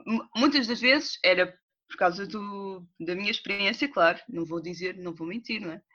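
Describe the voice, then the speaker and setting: A young woman with a Lisbon accent speaks as an interview guest, earnest and insistent, circling back to her point. Her voice is bright and sharp. The sound is dull and lo-fi. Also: mid-range voice, brisk. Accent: Lisbon Portuguese. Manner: earnest, repeats herself to make a point. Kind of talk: casual conversation. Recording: dull lo-fi recording.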